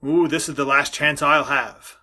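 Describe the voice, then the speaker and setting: Man acting a henpecked middle-aged dad, sharp bright tone, stammering, exasperated, old-time radio sitcom. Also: fairly high pitch, steady tone, moderate pace. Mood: flustered, exasperated. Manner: stammering, harried. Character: flustered, henpecked suburban father, easily exasperated.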